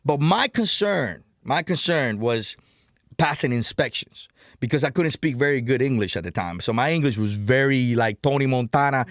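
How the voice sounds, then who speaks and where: A middle-aged man from Cuba with a Cuban Spanish accent, telling stories in a podcast interview in an emphatic, animated way, repeating words and calling the host "man" and "brother.". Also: mid-range voice, normal speed, no echo, studio quality. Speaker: interview guest.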